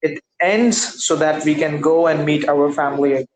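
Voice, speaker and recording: A man's voice: on the high side, fairly even intonation. Hesitant man, Urdu native, roomy call. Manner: careful, hesitant, many pauses and affirmations. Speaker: English learner. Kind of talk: casual conversation practice. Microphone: video call. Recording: roomy video call audio.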